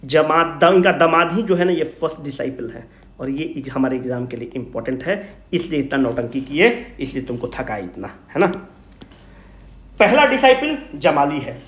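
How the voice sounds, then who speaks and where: A man with a high voice and a Bihari Hindi accent gives an informal exam revision lecture. He poses questions and answers them himself, repeating the key points emphatically in everyday language. The room around him adds a strong echo. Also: lively intonation, normal speed, a bit bright. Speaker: exam coaching teacher. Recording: echoey room.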